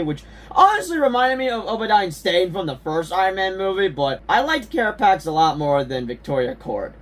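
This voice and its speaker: Excitable young man, a bit high. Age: young adult. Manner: enthusiastic, rambling, swears casually. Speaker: YouTube movie reviewer. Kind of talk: casual movie review.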